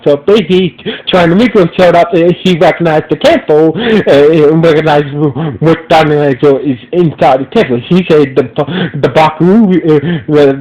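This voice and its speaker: A man's voice: fairly high pitch, darkish tone, poor audio. A man hosting an audio review podcast, talking with a stuffy nose and rambling through many restarts and fillers. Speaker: host. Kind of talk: casual episode review podcast. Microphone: audio-only podcast recording.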